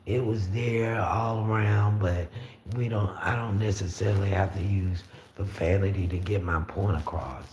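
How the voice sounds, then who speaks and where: A raspy-voiced man from Florida hosting a casual livestream, talking easily and reading out viewer comments. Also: low-pitched, steady tone, normal speed, a little mellow. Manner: rambling, easygoing, frequent fillers and restarts. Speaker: livestream host. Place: room at home with the windows open. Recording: roomy echo with faint street noise.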